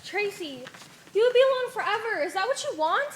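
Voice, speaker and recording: A woman's voice: very high voice, expressive, fairly quick, a crowd in the background. A young woman playing an earnest, questioning follower in an amateur stage play, with a hesitant, searching manner. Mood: pleading. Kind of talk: amateur one-act stage play acting. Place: theater stage.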